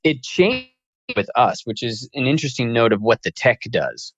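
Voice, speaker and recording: A man's voice: mid-range voice, big pitch swings, normal speed. A man hosting a casual interview, chatty and throwing in asides between his questions. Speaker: interview host. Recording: dull lo-fi audio.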